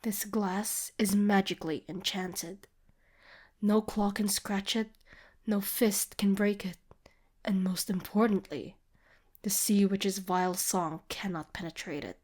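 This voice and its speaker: Female showwoman, acted for fantasy audio drama: theatrical tour guide, grand salesmanlike delivery, dramatic pauses. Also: medium pitch, steady tone, darkish tone. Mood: hushed, ominous. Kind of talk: scripted fantasy audio roleplay.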